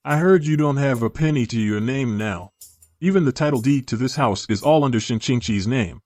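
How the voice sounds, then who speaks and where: A man's voice: medium pitch, brisk, a little mellow, no room sound, clean studio sound, faint music behind, close to an everyday voice. A man playing a teasing, easily exasperated bachelor friend in a dubbed short drama. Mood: mocking. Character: mocking, exasperated bachelor friend of a fallen tycoon.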